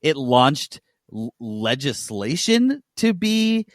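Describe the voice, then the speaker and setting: A man's voice: mid-range voice, big pitch swings, slow, dry recording, clean studio sound. A man hosting a casual gaming news podcast, talking in a blunt, opinionated way.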